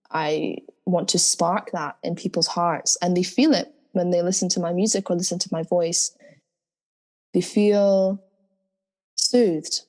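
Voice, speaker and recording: A woman singer speaking in an interview in a deep, soulful voice, earnest and spiritual in tone. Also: darkish tone. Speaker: guest singer. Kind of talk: reflective interview talk.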